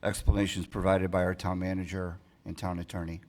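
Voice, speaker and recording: A man's voice: low voice, flat delivery, brisk, a little mellow. An American man speaking as a council member and commission chair, conducting formal meeting procedure. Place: indoor town council meeting.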